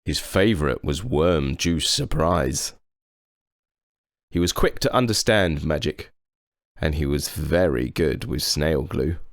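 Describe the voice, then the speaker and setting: A man reading a children's story aloud with expressive narration. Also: extremely low pitch, slow, no room sound, studio quality. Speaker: storybook reader.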